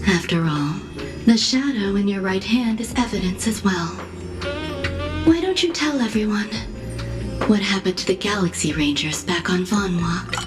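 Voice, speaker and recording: Game role, woman actor: cerebral lady genius, medium pitch, poised and subtly probing delivery. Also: lively intonation, over music, lightly acted. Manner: measured, poised, quietly pointed. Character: composed, cerebral female genius scientist, politely probing. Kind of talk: scripted video game dialogue.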